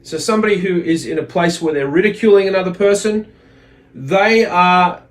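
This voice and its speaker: A man giving a religious lesson as a teacher, speaking in a deliberate, explanatory way and repeating phrases for emphasis. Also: high-pitched. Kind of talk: religious lesson with readings from a text.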